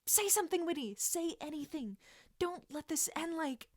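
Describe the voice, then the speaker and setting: A woman's voice: extremely high pitch, lively intonation, moderate pace, no echo, studio quality, voice pushed up. A woman voicing a brash, lovestruck teenage wizard boy in an audiobook-style story, flustered and frantic. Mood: panicked. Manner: shouting, stammering, frantic.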